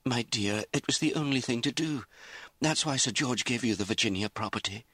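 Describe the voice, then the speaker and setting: Older high-society mother from a novel, read by a male narrator, polite, pleading, raised pitch, dry sound. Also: fairly quick, sharp tone, dry recording. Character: genteel older mother, scheming society lady. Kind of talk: audiobook character acting.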